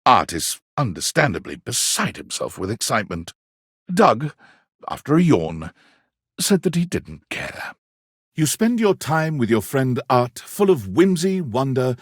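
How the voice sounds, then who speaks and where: A worldly, flamboyant storyteller for a video game. His voice is husky and swings widely in pitch as he savors each florid phrase with arch, pompous wit. The sound is dry, with no room on it. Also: no echo, full character voice. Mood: refined, fond. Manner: dramatic, pompous, savoring words with deliberate pauses. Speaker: voice actor, narrator of game story. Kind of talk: theatrical scripted narration.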